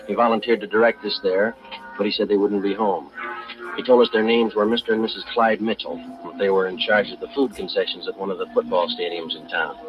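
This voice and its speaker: A man playing a terse, businesslike American police detective in a 1950s radio drama, with clipped deadpan delivery. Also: fairly low pitch, lightly acted. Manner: clipped, deadpan, matter-of-fact. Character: terse, businesslike male police detective narrating his case. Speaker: lead actor and narrator. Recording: dull vintage radio recording, music behind.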